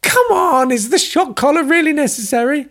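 A man voicing a desperate captive scientist, shrieking high and shrill. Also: lively intonation, moderate pace, a bit bright, heavily acted. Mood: panicked, pleading.

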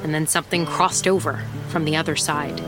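An actress playing a wry, rattled small-town private detective who narrates in a conversational, casually profane way in an audio drama. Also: female voice, sits low, steady tone, brisk, natural voice. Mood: ominous, reflective. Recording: music under the voice.

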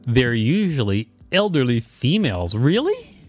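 A man's voice: medium pitch, huge pitch swings, measured pace, no room sound, faint music behind. A man co-hosting a podcast, mixing casual chat with reading an article aloud. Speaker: podcast co-host.

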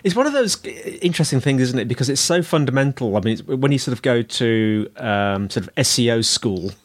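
A man's voice: medium pitch, expressive, fairly quick. A man hosting a podcast interview, asking friendly, rambling questions with many fillers. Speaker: podcast host.

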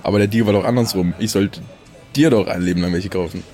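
Guarded young guy, lowish voice, casual halting speech, realistic audio drama, faint music underneath. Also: dark tone. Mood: awkwardly grateful. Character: guarded young man, casual, awkwardly grateful friend. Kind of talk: naturalistic audio drama dialogue.

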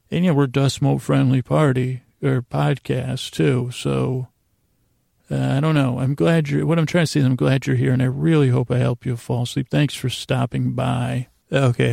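A man hosting a soothing bedtime podcast in a lulling, meandering monologue, halting with odd pauses and repeated words. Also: medium pitch, darkish tone, no echo, clean studio sound. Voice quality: lulling, creaky drone. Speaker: podcast host.

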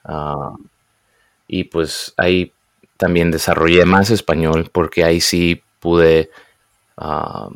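A young man raised in the United States, speaking casually and often pausing to search for words. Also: sits low, little pitch movement, clear, resonant tone, dry recording. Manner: hesitant, searches for words, mixes in English. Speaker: guest. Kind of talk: casual conversation.

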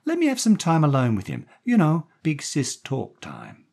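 A man's voice: a little high, wildly swooping pitch, normal speed, gravelly, a little mellow, voice pushed up, exaggerated voice. A man voicing a brassy, coaxing young American woman, a gangster's moll with slangy talk, in a story reading. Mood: chatty, sweet. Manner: slangy, coaxing, calls people honey.

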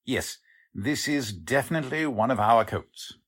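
A man's voice: medium pitch, animated, unhurried, fairly bright tone, breathy edge. A man playing an earnest, deferential warehouse clerk in 1940s radio drama style, polite and a little hesitant. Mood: helpful.

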